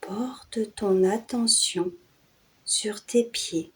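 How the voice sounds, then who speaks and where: A woman guiding a yoga nidra and hypnosis meditation in a soothing, hushed way, in short phrases with breathy endings and long pauses. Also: mid-range voice. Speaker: meditation guide and channel host.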